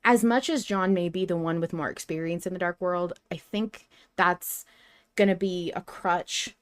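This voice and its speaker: A woman hosting a casual podcast discussion about fiction, talking in an animated, emphatic and slangy way. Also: lowish voice, normal speed. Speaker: podcast host.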